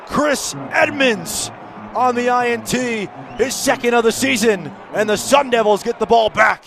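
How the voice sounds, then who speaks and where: A man's voice: very high voice, measured pace, vocal fry, a bit bright, soft background music. An American man calling college football play-by-play live on the radio from a stadium. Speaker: play-by-play radio sports commentator. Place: football stadium broadcast position. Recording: outdoor stadium background noise.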